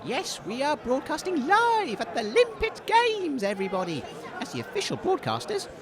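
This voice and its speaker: A man playing a flustered, cheery wasteland radio host in a comedy broadcast, with an excitable, stammering manner. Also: very high-pitched, moderate pace, crowd noise, heavily acted. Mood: flustered, announcing.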